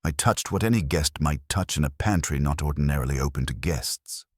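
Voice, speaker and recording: A man's voice: very deep voice, fairly quick, breathy edge, dry recording, studio quality. A man voicing a suave, vain, theatrical artist and schemer with smug, ornate phrasing, in an audiobook mystery. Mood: defiant.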